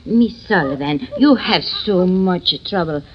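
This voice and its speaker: An anxious Italian immigrant woman pleads slowly in broken English, her pitch swinging widely. It sounds like a muffled, hissy 1930s radio drama. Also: lively intonation. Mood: apologetic, distressed. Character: anxious, grateful immigrant Italian mother, poor tenant.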